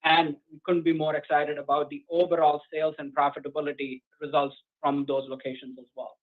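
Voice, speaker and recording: Even-toned male executive on conference phone. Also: a little high, fairly quick. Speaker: company executive. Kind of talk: earnings call answers to analysts. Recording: narrowband conference phone line.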